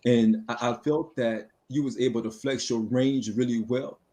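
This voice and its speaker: A man's voice: medium pitch, steady tone, normal speed, warm tone. A man co-hosting a podcast, easygoing and asking the guest questions. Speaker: podcast co-host. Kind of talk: casual interview chat.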